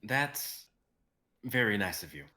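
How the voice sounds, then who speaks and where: Man playing a sincere young adventurer, bright forceful voice. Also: lowish voice, very animated, takes it slowly, full character voice. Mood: brisk. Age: young adult. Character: sincere, supportive young male adventurer, casual and loyal. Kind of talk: online dramatic script reading.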